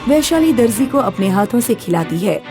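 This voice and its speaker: A woman's voice: fairly high pitch, sing-song, normal speed, warm tone, faint music behind. A woman narrating a scripted story as a storyteller.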